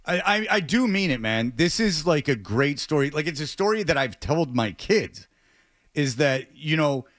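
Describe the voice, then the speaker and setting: A man hosting a radio talk show, speaking off the cuff in a halting way with stammers and repeated words. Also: a little high, lively intonation, fairly bright tone, no echo, studio quality. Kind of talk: unscripted radio talk show monologue and interview. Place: radio studio.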